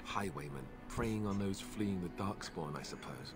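Warrior companion: low, disdainful, stern, fantasy game with music underneath. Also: male voice, animated, normal speed.

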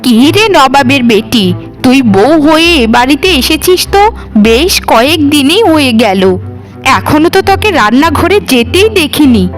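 A woman's voice: high voice, animated, moderate pace, soft background music. A woman voicing a domineering older mother-in-law in a cartoon, with a scolding, demanding manner. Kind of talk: animated cartoon dialogue.

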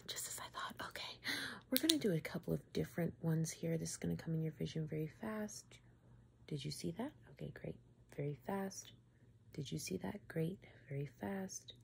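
Female, twenties, ASMR medic character, whisper mixed with soft creaky speech, close-up with clear background noise. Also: low voice. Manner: whispery, slipping between soft talk and whisper. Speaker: ASMR creator playing a doctor. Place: small room at home, indoors.

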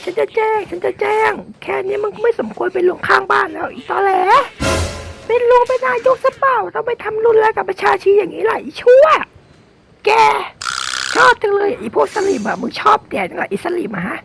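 A woman voicing an indignant, foul-mouthed villager in a game skit, scolding in a strained, drawn-out squeak. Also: animated, creaky, background noise, full character voice. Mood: outraged. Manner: scolding, slow drawn-out delivery. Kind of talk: improvised game role-play skit.

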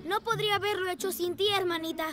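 An eager young boy rescue motorcycle in a children's cartoon. The voice is extremely high and earnest, full of exclamations. Music plays clearly underneath. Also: steady tone, fast.

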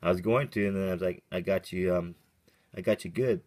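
A man's voice: low voice, brisk, darkish tone. A man casually testing a CB radio at his workbench in short, clipped calls, muttering to himself as he goes. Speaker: CB radio hobbyist testing a vintage radio. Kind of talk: casual radio check chat. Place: cluttered indoor radio workbench. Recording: clearly noisy handheld recording with clicks.

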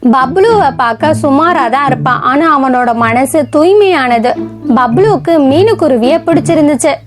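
A woman's voice: high-pitched, lively intonation, normal speed, soft background music. A woman narrating a scripted children's story.

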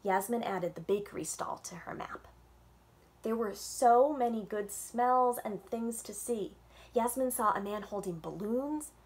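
A young woman, a children's librarian, reading a picture book aloud at story time with animated, expressive delivery, recorded on a webcam at home. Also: a little high, unhurried. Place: home room with bookshelves. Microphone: webcam on a video call.

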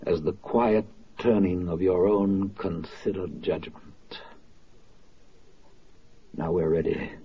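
A lowish, husky narrator, solemn and oratorical, in the grand style of 1940s radio drama. The phrasing is deliberate, on a dull vintage recording. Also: male voice. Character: solemn, rhetorical narrator addressing the listener.

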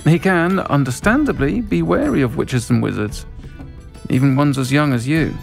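Middle-aged witch innkeeper, creaky, conversational, frank, faint music behind, game dialogue. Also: fairly high pitch, lively intonation, moderate pace. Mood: frank, earnest. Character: friendly, frank witch tavern keeper, a woman.

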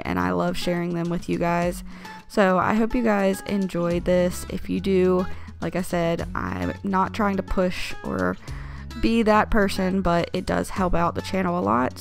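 A young woman vlogger chatting to her viewers in a casual voice-over, rambling with frequent ums and likes. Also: mid-range voice. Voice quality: gravelly fry. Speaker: YouTube vlogger.

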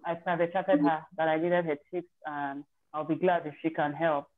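A woman's voice: deep voice, level tone, normal speed. A woman casually telling a group on a video call how she prepared for her exam, candid and chatty, often restarting her sentences. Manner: chatty and candid, with self-corrections. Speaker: participant sharing her exam success story. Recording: tinny, phone-like video call audio.

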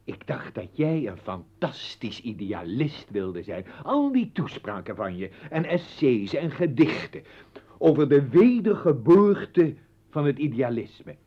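An actor playing an indignant, scornful professor in a radio play, mocking and oratorical. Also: male voice, medium pitch, sing-song, unhurried, slightly rough. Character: scornful, indignant professor, a husband defending his household. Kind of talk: Dutch radio play acting. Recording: dull old radio recording.

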